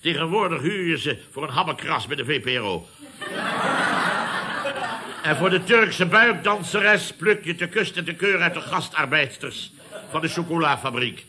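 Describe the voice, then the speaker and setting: Radio comedy role: pompous, nostalgic building-firm boss, very bright forceful voice, grand orator's delivery. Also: male voice, a little high, lively intonation, moderate pace, full character voice. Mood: relishing, nostalgic. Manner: pompous, orating, emphatic. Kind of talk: Dutch radio comedy serial acting.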